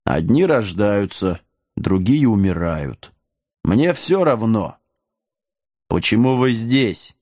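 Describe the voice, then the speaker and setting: Guy doing a huge brooding evil god, slow imperious drawl, fantasy audiobook, dry close sound. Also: on the low side, big pitch swings, darkish tone, no room sound, full character voice. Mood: brooding indifference. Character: huge ancient dark god, male giant, brooding, menacing. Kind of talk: audiobook character acting.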